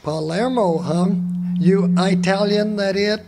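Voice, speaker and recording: An actor playing a bullying, suspicious small-town lawman in a radio drama, gruff and contemptuous as he interrogates. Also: sits high, takes it slowly. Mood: hostile, sneering. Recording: live stage recording, faint noise.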